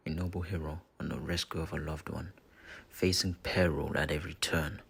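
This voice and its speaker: A man playing a menacing, mocking dark spirit in a possessed body, gravelly and husky, in a theatrical fantasy audio roleplay. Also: extremely low pitch, fairly even intonation, full character voice. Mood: sardonic taunting. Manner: theatrical, taunting, deliberate with dramatic pauses.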